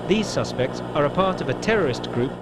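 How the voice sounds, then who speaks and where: A man's voice: a little high, expressive, brisk, close to an everyday voice. A man playing a formal, grave television announcer reading scripted emergency bulletins. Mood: grave, sombre. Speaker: broadcast announcer. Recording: very noisy, loud background ambience.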